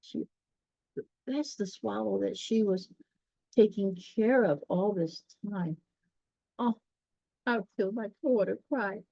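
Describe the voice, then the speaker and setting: Casting: woman storyteller for children's tales, very unhurried and mellow, explanatory, chatting to listeners between passages. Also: mid-range voice, vocal fry, no room sound, dull audio. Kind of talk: read-aloud children's story with chatty asides.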